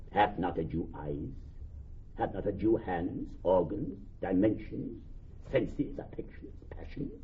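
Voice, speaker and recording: A wronged, vengeful moneylender in a classical radio drama. His rhetorical delivery leaps and plunges through a broad range. The sound is a little muffled. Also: fairly high pitch. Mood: grave, wounded. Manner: declamatory, rhetorical, building in repetition. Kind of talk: classical Shakespearean radio acting.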